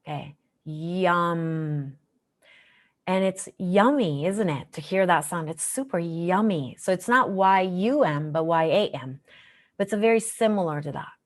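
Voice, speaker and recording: A woman teaching a guided meditation in a gentle, measured, instructional manner. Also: fairly low pitch. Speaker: meditation teacher, session host.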